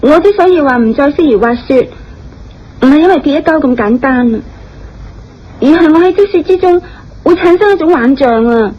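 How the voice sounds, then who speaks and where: A woman's voice: high-pitched, expressive, moderate pace, pauses often. An actress playing a polite, earnest, easily frightened young female star in a radio drama. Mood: shaken. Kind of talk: Cantonese radio drama acting. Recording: dull lo-fi audio with background music.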